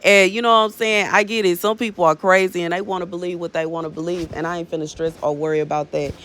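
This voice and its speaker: A woman's voice: on the low side, fairly even intonation, quick pace, fairly bright tone, a little hiss or hum. A woman in her thirties giving a casual, rambling spiritual talk to her viewers, often filling with "you know what I'm saying" and calling them "baby". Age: 30s. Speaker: minister sharing prophetic words.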